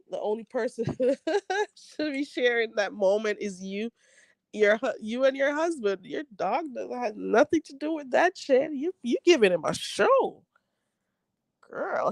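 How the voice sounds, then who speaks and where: A woman's voice: medium pitch, darkish tone, dry recording. Stuffy-nosed woman laughing through chat. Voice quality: nasal. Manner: laughs often, blunt and frank. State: stuffy nose. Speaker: livestream host reading chat. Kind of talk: casual live chat.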